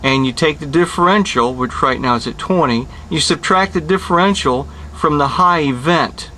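A middle-aged man working as an HVAC technician, explaining a repair step by step in a plain, unhurried way with many pauses, recorded outdoors beside an air conditioning unit. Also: a little high, sharp tone, slight background noise, low-quality recording. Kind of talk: hands-on repair tutorial.

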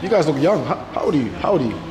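A man's voice: fairly high pitch, big pitch swings, rapid-fire, music in the background. A man, vlogging with playful, teasing banter in a busy cafe, filming himself on a handheld camera. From England. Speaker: travel vlogger. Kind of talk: casual joking vlog chat. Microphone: handheld action camera at arm's length. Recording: crowded cafe with long reverb.

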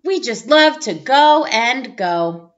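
A woman in her thirties reading a children's picture book aloud in an expressive, playful way, like a teacher. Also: a little high, takes it slowly. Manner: expressive, playful exclamations and sound effects. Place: small room at home, indoors. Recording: dull lo-fi webcam audio.

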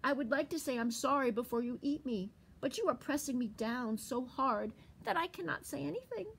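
A woman's voice: high-pitched, lively intonation, normal speed, vocal fry, breathy edge, heavily acted. A woman voicing a small, cocky young male squirrel in a children's storybook, chirping in a sing-song way. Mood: pleading. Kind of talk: storybook read-aloud for children.

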